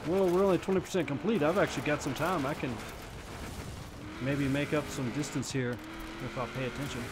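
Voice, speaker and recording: Kentucky man, 40s, casual streamer; slightly high voice over loud engine noise. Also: steady tone, a little fast. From Kentucky, USA. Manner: easygoing, chatty, teasing viewers. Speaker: game streamer. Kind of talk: casual live-stream chat while racing. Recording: game car noise behind voice. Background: racing game engine sounds.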